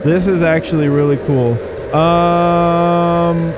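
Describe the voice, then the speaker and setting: Excitable higher-voiced man doing game commentary. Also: takes it slowly, rough recording. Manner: excitable, exclaiming, slangy. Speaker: video game YouTuber and host. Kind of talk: casual gameplay commentary. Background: background music.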